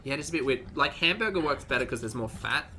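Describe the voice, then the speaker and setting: A man's voice: medium pitch, animated, fast, pushed and bright, slight background noise. A man hosting a reaction stream, chatting casually with viewers in a sardonic, sweary way, sounding congested and short of breath.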